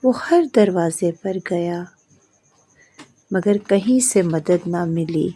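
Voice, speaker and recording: A woman narrates a scripted moral story. Her voice is on the low side, very mellow and husky. She sets out the tale in short, measured phrases with pauses between them.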